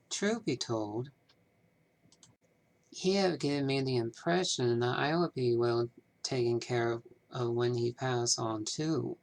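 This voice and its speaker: A man acts out a small-town banker of the 1930s in scripted game dialogue. He speaks very slowly in a mid-range voice, choosing his words formally. His temper is courteous and businesslike, with a wistful streak. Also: medium pitch, vocal fry, poor audio. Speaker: amateur voice actor.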